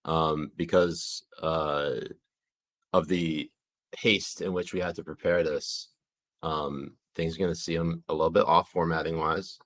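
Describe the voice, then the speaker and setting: A man's voice: extremely low pitch, fairly even intonation, measured pace, a bit bright. A man co-hosting a casual livestream, leading the segments with sardonic asides. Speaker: podcast co-host.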